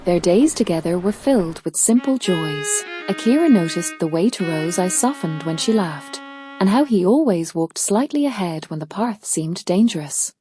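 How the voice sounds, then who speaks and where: A woman's voice: fairly low pitch, expressive, moderate pace, mellow, faint music behind. A woman narrating a scripted storybook tale as a storyteller.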